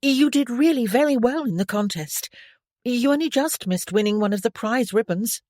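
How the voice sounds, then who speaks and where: An impulsive young noblewoman of seventeen, as a woman reader puts her on, chattering eagerly in a bright, girlish voice that sits higher than the narration. The recording is dry and close, with no room sound. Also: animated, normal speed, dry recording. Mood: polite. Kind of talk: audiobook character voice.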